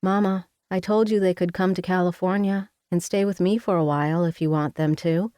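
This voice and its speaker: A woman voicing a weary young woman visiting her mother, speaking gently. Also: lowish voice, fairly even intonation, brisk, no room sound, clean studio sound, lightly acted. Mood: gentle.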